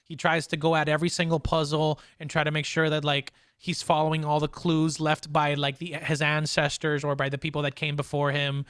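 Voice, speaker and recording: A man's voice: a little high, completely flat delivery, fairly quick, hardly any pauses, a bit bright, clear, resonant tone, no room sound, studio quality. A young man hosting a casual pop culture discussion, talking enthusiastically in informal, looping, hesitant phrases. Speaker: podcast host.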